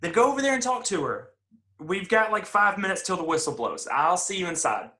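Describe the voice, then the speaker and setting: An actor in a play reading, playing a brash, excitable young factory worker teasing his buddy. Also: high voice, fairly quick, full character voice.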